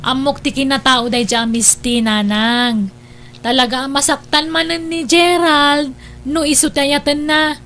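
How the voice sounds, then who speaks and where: A woman's voice: high voice, steady tone, a little slow, a bit bright, a little hiss or hum. A woman playing a nagging, worried older sister in an audio drama, with an Ilocano accent. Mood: worried, prying. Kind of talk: Ilocano radio-style audio drama acting.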